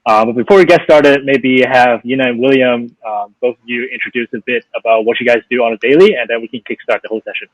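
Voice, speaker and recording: A man's voice: medium pitch, fairly even intonation, quick pace, hardly any pauses, no room sound, rough recording. A man moderating an online panel chat, easygoing, with frequent fillers and repeated words.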